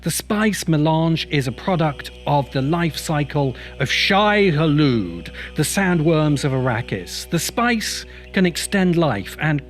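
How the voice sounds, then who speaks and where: A man narrates a scripted video essay in a slightly high voice. He is emphatic and opinionated, building rhetorical points, with music playing quietly underneath. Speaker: host and writer of science fiction video essays. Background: background music.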